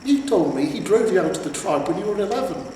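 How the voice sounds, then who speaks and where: Echoey, high-pitched fretful talking horse played by a man. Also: expressive, fast talker, warm tone, distant mic. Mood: sceptical. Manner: nagging, questioning. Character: talking horse, fretful, nagging, cautious companion. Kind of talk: acted character dialogue in a fantasy storybook reading. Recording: very echoey reverberant room, faint noise.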